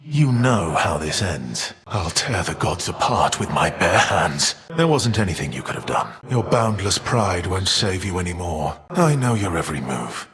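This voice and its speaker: An actor playing a proud, vengeful, defiant Titan of fire in a video game, speaking with grave, haughty, archaic formality. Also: male voice, sits low, sing-song, normal speed, few pauses, a crowd in the background. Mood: vengeful menace. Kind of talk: dramatic video game villain voice acting. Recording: long reverb tail.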